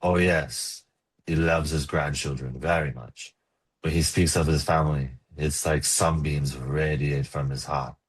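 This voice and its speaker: An actor playing a gentle, coaxing farm suitor, tender and a little forward, in a romcom table read. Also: male voice, very deep voice, steady tone, a little slow, breathy edge, full character voice.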